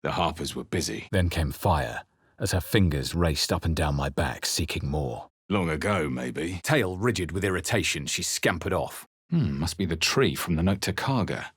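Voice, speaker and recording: A fantasy game hero with a low, husky voice. He is earnest and wry, telling his quest with measured, brooding drama in a close, dry sound. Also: no room sound. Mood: grim, brooding, then wry, musing. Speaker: video game player-character voice actor. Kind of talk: dramatic game narration and short character barks.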